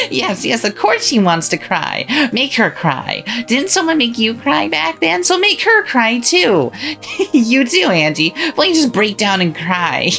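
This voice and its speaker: A woman's voice: a little high, big pitch swings, measured pace, creaky, a bit bright, heavily acted. A woman voicing a cruel, cackling witch who mocks and taunts, with faint music behind. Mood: taunting. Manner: mocking, taunting, frequent cackling laughter. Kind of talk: visual novel voice acting.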